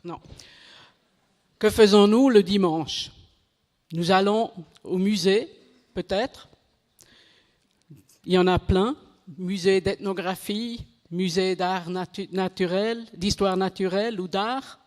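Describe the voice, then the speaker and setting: A woman giving a conference talk in French, which is not her first language, halting and correcting herself as she goes. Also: medium pitch, darkish tone. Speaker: conference speaker. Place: conference hall. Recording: reverberant hall.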